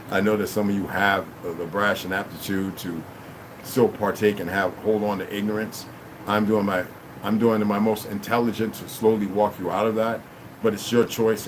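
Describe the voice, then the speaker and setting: Rambling low-voiced man over AC hum. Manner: rambling, loose, peppered with "you know what I mean". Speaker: livestream host. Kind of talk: casual livestream chat. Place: room at home. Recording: steady air-conditioner hum behind.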